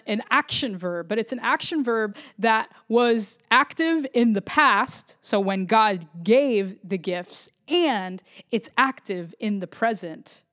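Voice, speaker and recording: A woman pastor from Florida, preaching a sermon in an earnest, reflective way, often restarting phrases. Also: fairly high pitch.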